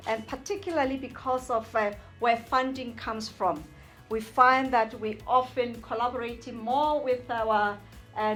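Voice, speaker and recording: A woman's voice: on the high side, fairly bright tone. A woman, a visiting university representative, giving an upbeat formal speech with many uhs.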